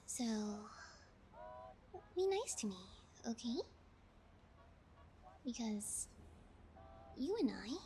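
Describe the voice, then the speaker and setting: A high, girlish female kidnapper fiend, acted by a woman for an ASMR audio roleplay. She talks slowly, drawing out sugary, sociopathic taunts and theatrical pouts. Faint noise sits behind the voice. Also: darkish tone, heavily acted. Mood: sweetly menacing. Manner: sugary taunting, drawn-out, theatrical mock pouting. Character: playful young female fiend kidnapper, sociopathic, childish, mocking.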